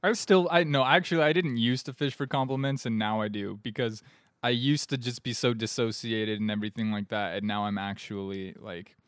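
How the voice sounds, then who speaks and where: A man's voice: mid-range voice, fairly even intonation, brisk, no echo, studio quality. A man in his thirties, a comedian guest on a podcast, rambling in a self-deprecating, mock-earnest way. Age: 30s.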